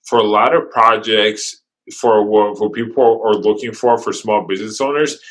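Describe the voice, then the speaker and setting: A man with a bright, forceful, fairly low voice, English his second language. He talks energetically in quick runs, restarting often, in a casual interview. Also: steady tone. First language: not English. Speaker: guest videographer.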